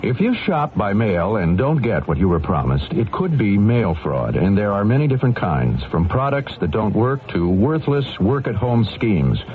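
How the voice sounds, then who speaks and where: A man's voice: fairly low pitch, very animated, normal speed, hardly any pauses. A man reading a scripted public service announcement as a radio announcer. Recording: tinny old radio, faint noise.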